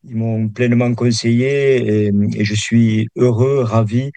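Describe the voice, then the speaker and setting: A man's voice: mid-range voice, steady tone, measured pace, mellow, no echo. A man in his sixties with a southern French accent, a meditation teacher speaking as a guest in a radio interview, hesitant with many restarts. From Perpignan, southern France. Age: 60s.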